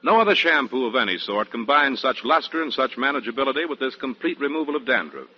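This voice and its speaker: A man's voice: medium pitch, very animated, fast. An American man reading a shampoo-style commercial as a 1940s radio announcer. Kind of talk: 1940s radio advertising read. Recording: dull 1940s radio broadcast.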